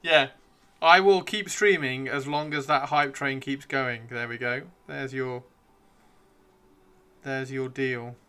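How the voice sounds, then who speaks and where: Bright-voiced man hosting, voice wearing thin. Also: medium pitch, slow pace, smooth. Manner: excitable, repeats phrases. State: losing his voice. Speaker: livestream host. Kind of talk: casual live stream chat while painting miniatures.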